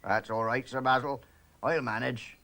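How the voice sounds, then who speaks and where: A gravelly bumbling village constable. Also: male voice, medium pitch, fairly even intonation, pushed and bright. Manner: slow rural dialect, pompous officialese. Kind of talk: 1960s children's TV animation voice.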